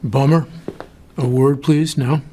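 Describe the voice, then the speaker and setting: An actor playing a stern, measured male superior officer in an audio drama, firm but reluctant. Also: mid-range voice, steady tone, natural voice. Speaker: boss of an agent. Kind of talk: audio fiction drama acting.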